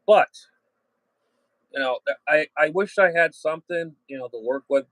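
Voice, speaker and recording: A man's voice: on the high side, moderate pace, a bit bright, dry recording, rough recording. A man chatting casually as a radio hobbyist, plain-spoken and salty, often trailing off and circling back. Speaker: amateur radio hobbyist and channel host. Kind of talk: casual rambling chat.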